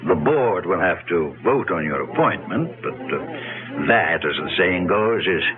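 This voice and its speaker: Older company president, blunt yet avuncular, lively low-pitched delivery, tinny vintage radio drama sound. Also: male voice, music in the background. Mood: proud, assured. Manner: avuncular, deliberate, commanding. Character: older, genial, blunt company president and future father-in-law. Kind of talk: 1970s radio drama acting.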